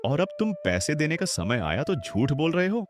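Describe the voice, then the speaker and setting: A man's voice: on the high side, very animated, normal speed. An actor playing a stern, greedy village headman in a cartoon, bossy and threatening. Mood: demanding. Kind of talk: cartoon voice acting. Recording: music behind the voice.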